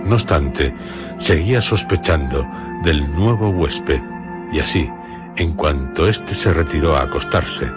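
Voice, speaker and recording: A man with an accent from Spain narrating a radio drama in a measured, solemn way, phrase by phrase. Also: a bass voice, animated. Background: prominent music.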